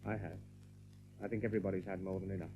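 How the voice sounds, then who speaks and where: A man's voice: low-pitched, level tone, racing, mellow, faint music behind, close to an everyday voice. A man playing a brave, decisive young rabbit leader in an audio drama, earnest and commanding. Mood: calm, planning. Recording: thin narrow-band recording with faint noise.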